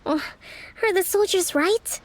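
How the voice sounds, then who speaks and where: A woman voicing a poised, gentle young female strategist and commander in a video game, speaking in a measured, analytical way. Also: extremely high pitch. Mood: thoughtful. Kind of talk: scripted video game character dialogue.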